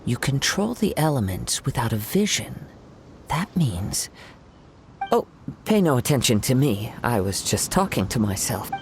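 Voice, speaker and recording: A man voicing a courteous, contemplative young wandering swordsman in a video game, speaking in a formal, measured, musing way. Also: medium pitch, very animated, vocal fry, slight background noise. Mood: thoughtful, curious. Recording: background music. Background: game music.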